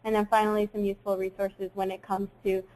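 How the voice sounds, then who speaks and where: A young woman in her twenties presenting an online webinar, friendly and quick, with frequent ums. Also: medium pitch, flat delivery, a little mellow, slight background noise, poor audio. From Saudi Arabia. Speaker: webinar host.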